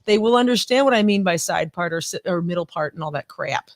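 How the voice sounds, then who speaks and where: A woman's voice: fairly low pitch, lively intonation, fast. A woman in her fifties co-hosting a live podcast, chatting casually and reading viewer comments aloud, laughing often and swearing freely. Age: 50s. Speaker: podcast co-host.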